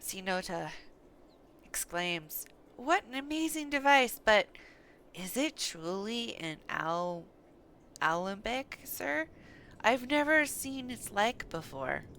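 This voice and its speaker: Animated woman streaming a game, bright voice. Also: on the high side, slow. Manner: animated, expressive, reacting aloud to play. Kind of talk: live gameplay commentary, reading dialogue aloud. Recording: faint background noise.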